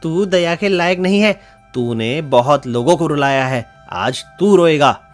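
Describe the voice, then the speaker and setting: A man's voice: fairly high pitch, lively intonation, a little slow, faint music behind. Man voicing a stern magical talking beast. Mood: angry, reproachful. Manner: reproachful, solemn. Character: magical crow and deer turned wolf, stern judge. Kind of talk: Hindi animated moral story dialogue.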